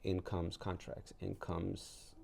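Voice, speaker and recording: Deep, gravelly man telling stories casually. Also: completely flat delivery, slow, a little mellow, slight background noise. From El Salvador. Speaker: interview guest, artist and gallery owner. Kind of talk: casual interview storytelling.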